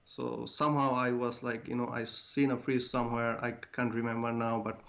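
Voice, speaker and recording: A man's voice: medium pitch, flat delivery, moderate pace, gravelly, a bit bright. A man speaks as an interview guest, earnest and hesitant with many fillers. He is feeling under the weather. His voice comes over a dull, lo-fi remote line. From Bangladesh. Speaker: guest, clothing brand founder. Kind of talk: casual interview answers.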